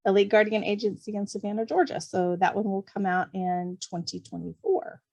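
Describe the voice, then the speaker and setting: A woman with a mid-range voice talks as an author being interviewed on a podcast. Her delivery is informal, chatty and expressive. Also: medium pitch, brisk, vocal fry. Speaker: podcast guest, novelist and kindergarten teacher. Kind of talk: casual chat.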